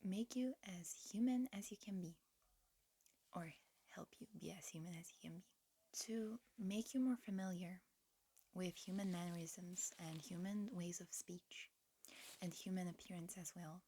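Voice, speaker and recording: A woman voicing a polite alien examiner in human guise, whispering softly and hesitantly in an ASMR roleplay. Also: on the low side, moderate pace, slightly rough. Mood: softly confiding. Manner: soft, hesitant, politely procedural.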